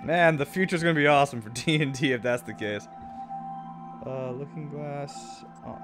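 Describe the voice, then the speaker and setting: A man's voice: fairly high pitch, moderate pace, music in the background. A man doing casual let's play commentary, sarcastic and often muttering to himself. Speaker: gamer, let's play host.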